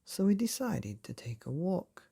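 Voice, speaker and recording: A man narrating a children's bedtime storybook in a playful, expressive way, often putting questions to the listener. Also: high voice, unhurried, vocal fry, breathy edge.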